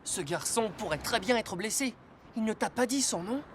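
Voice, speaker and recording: Calm, caring cartoon rescuer woman. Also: on the high side, moderate pace, a little hiss or hum, close to an everyday voice. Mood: concerned. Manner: reassuring, instructive. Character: calm, caring, authoritative rescuer teaching children safety. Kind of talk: French-dubbed children's cartoon acting.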